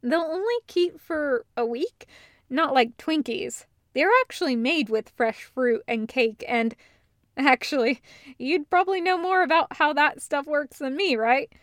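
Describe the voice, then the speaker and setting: A woman plays a cheerful, casual man who is eager to share. He rambles through hesitant asides in a high-set voice, recorded very dry. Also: measured pace, a bit bright, dry recording. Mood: eager, rambling.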